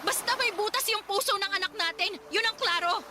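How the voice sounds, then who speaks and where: A woman's voice: very high-pitched, fairly quick, heavy background noise. A woman playing a distraught, snappish young wife and mother in a Tagalog radio drama, blunt and exasperated. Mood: defensive, upset. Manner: exasperated, blunt, sharp-tongued. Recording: music behind the voice.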